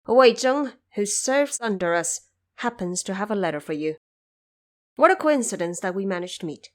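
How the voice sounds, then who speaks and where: A woman's voice: medium pitch, expressive, moderate pace, close to an everyday voice. Woman playing a dignified, gracious emperor. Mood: gracious, relieved. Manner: formal, regal questioning and thanks. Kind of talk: audio drama acting.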